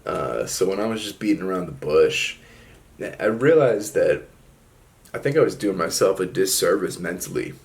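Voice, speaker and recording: A man's voice: low voice, lively intonation, moderate pace, vocal fry. A young man talking casually to his viewers in a laid-back reflective monologue, often dropping "man" and "like". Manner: laid-back, drawling, frequent fillers like "man" and "like". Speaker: solo video host.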